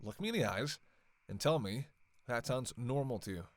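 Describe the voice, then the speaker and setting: A sardonic male vampire, played by a man in an audio roleplay, recorded close and dry. He talks in a casual, flirty, sarcastic way, needling his listener with sweary banter in a mid-pitched voice. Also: lively intonation, moderate pace, dry recording, lightly acted. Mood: teasing. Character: sardonic, flirtatious, long-lived male vampire killer, playfully teasing. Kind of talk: casual roleplay audio acting, one side of a dialogue.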